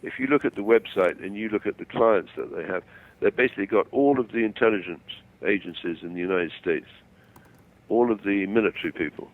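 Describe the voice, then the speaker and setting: Older male phone-in guest, low-pitched, halting yet self-assured, narrow tinny telephone sound. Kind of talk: conversational explanation and opinion over the phone.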